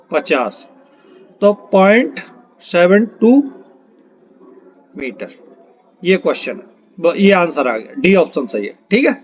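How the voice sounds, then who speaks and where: A man teaches an exam-prep lesson, reading out questions and options and repeating them for emphasis. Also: sits high. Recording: dull, lo-fi microphone sound.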